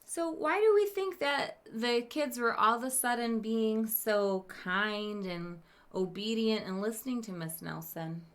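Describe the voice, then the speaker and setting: A woman teacher reading a storybook aloud to children, expressive and emphatic, with questioning asides to her listeners. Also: a little high, measured pace.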